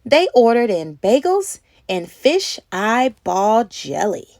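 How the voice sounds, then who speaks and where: A woman reading a children's story aloud in an expressive, animated way, acting out words as she goes. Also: a little high, takes it slowly, no echo, clean studio sound. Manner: animated, acts out words and sound effects. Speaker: children's read-aloud host.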